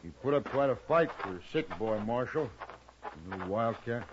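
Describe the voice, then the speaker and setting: A man acts a gruff, put-upon livery stableman in a radio western. He has a rural American accent and a mid-pitched voice. The recording is dull with slight noise. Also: animated, moderate pace, lightly acted. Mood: aggrieved. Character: gruff, aggrieved frontier stableman. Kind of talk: 1950s radio western acting.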